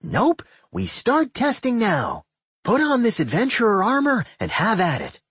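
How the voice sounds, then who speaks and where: Manic high-pitched gleeful slime creature. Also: male voice, a little slow, slightly rough, no room sound, exaggerated voice. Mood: gleeful, excited. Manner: excitable, exclaiming, gleefully encouraging.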